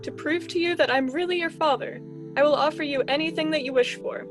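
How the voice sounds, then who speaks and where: Woman as a high-voiced fussy sun-god dad. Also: brisk, close to an everyday voice. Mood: exasperated pleading. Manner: exasperated, theatrical parental fussing. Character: fretful sun-god father scolding his teenage son. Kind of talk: amateur student radio drama acting. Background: music.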